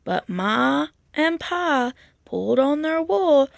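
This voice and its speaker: Unhurried woman reading a children's storybook. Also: high-pitched, very animated, gravelly, dry recording, studio quality. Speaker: storybook reader. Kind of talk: children's picture book read-aloud.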